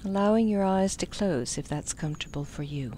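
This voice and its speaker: A woman leading a guided meditation as an instructor, speaking gently with soft phrase endings. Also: medium pitch, a little fast, slight background noise.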